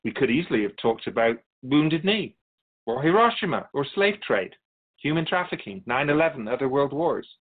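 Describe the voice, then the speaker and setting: Solemn, wise keeper of souls, muffled. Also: male voice, medium pitch, sing-song. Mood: solemn, explanatory. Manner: measured, formal, philosophical. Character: otherworldly male keeper of souls, wise, patient, solemn messenger. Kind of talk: radio drama interview acting. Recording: dull lo-fi audio.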